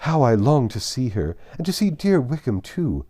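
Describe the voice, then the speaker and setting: A man voicing an excitable, silly middle-aged mother in a storybook reading, gushing and fluttery with delight. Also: mid-range voice, moderate pace, pitched above the performer's own voice. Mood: overjoyed, fluttering. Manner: fluttery, exclamatory, breathless rush of delight.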